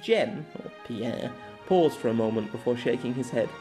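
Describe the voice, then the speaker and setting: Man narrating fiction, medium pitch. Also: animated, moderate pace, music in the background.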